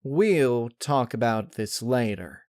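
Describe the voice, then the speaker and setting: A man voicing a folksy, steady female farm pony with a plain-spoken, reassuring manner. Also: medium pitch, slow pace, no echo, studio quality. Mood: uneasy.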